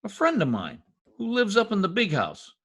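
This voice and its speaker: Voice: mid-range voice, wildly swooping pitch, moderate pace, a bit bright, heavily acted. A performer in a 1940s-style radio comedy-mystery voicing a shady, wisecracking informant with slangy, ungrammatical talk. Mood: sly, wry. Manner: streetwise slangy talk with bad grammar. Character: shady, wisecracking lowlife gangland informant nicknamed Piggy. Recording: husky-sounding home recording over video call.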